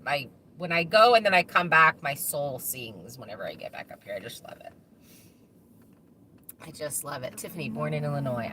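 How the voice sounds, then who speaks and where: A woman's voice: sits low, sharp tone, a little hiss or hum. A woman hosting a casual live chat from her farm, rambling and laughing often as she answers viewer comments. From United States.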